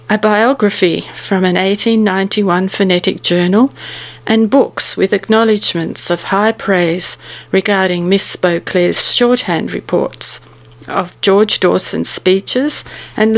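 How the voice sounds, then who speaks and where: A woman's voice: mid-range voice, slow pace, gravelly. A woman in her seventies reading a prepared commemorative speech aloud in a formal, carefully worded manner. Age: 70s. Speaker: speaker giving a commemorative speech.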